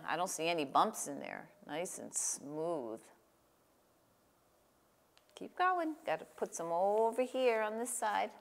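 An older woman hosting a children's story time and craft, speaking in simple, encouraging phrases and questions to a young child. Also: mid-range voice. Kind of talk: reading aloud and guiding a child through a craft. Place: small indoor room with table. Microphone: lapel.

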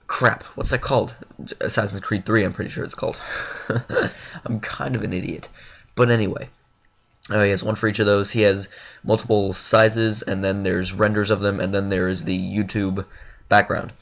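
Young guy all stuffed up, lowish voice, rambles with lots of ums, talking over game clips. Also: vocal fry. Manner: rambling, filler-heavy, self-deprecating. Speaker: gaming YouTuber. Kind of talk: casual channel update over gameplay.

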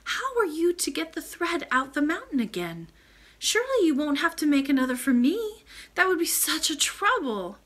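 A woman reads as a trusting little princess from a storybook. The girl is polite, earnest and eager, with a bright, curious voice. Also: high-pitched, big pitch swings, moderate pace, pitched above the performer's own voice.

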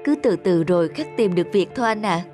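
A woman playing a gentle, supportive young wife in a cartoon, speaking in a soothing, reassuring way. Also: lowish voice, expressive, quick pace, close to an everyday voice. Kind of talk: cartoon dubbing. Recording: music behind the voice.

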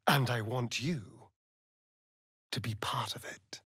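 An actor playing a sardonic, flamboyant, seductive vampire rogue in a fantasy video game, with an arch, theatrical drawl. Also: male voice, medium pitch, slow, a bit bright, breathy edge, no echo, studio quality, full character voice. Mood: sly.

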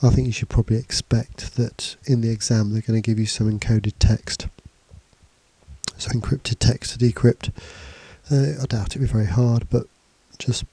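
A man's voice: mid-range voice, fairly even intonation, moderate pace, dark tone, slightly rough, no echo. A man with a cold and a bunged-up voice, casually talking through a programming tutorial over slides with fillers and restarts. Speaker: computing teacher. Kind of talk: screencast tutorial. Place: indoors, voice-over on slides and screen recording.

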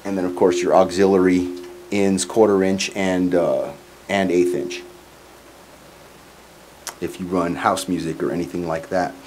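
Male, 40s, lowish voice, informal musician reviewing live sound gear, clear background noise on the recording. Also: fairly even intonation, unhurried, pauses often. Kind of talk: casual product demo. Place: small curtained home studio, indoors. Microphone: microphone on boom stand into PA, plus some room sound.

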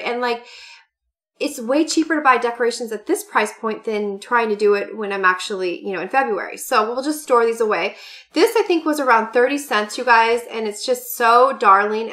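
Woman, late 30s, gushing home haul vlogger, fairly high, bright clear tone, lots of "you guys". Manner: bubbly, gushing, many fillers and "you guys". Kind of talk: casual chatty product haul. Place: home room with party decor, indoors.

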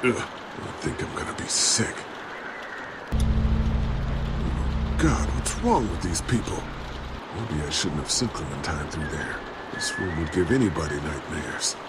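A man's voice: very deep voice, animated, slow pace, long pauses, over loud music, heavily acted. An actor playing a tense, horrified but decent male survivor with an American accent in a video game drama. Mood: sickened, uneasy.

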